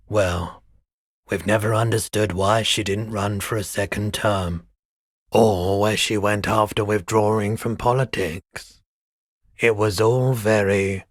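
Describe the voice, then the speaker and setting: An actor playing a laid-back, world-weary pawnshop owner in a video game, husky, soft-spoken and wistful, with an unhurried, low-key delivery. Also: male voice, no room sound, clean studio sound. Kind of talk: video game character dialogue.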